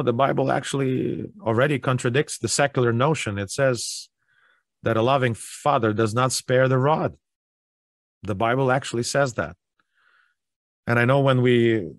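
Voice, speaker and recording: A man with a Ukrainian accent giving an online Bible study sermon, earnest and pleading, slowing down on grave points. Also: mid-range voice, no room sound, studio quality. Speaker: pastor.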